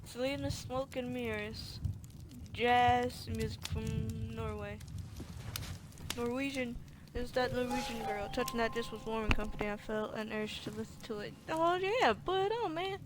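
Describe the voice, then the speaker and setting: A woman with a high voice comments casually on a game she is playing. She talks back to it in a colloquial way and reads bits aloud, with noise behind her. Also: normal speed. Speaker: gaming streamer playing through a horror game.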